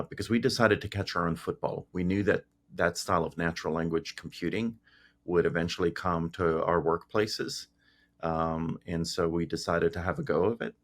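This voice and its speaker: Guest, middle-aged man from Greece: very low, rough voice, easygoing storytelling, lots of ums. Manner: relaxed, frequent fillers and restarts. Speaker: guest, tech founder. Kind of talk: casual interview storytelling.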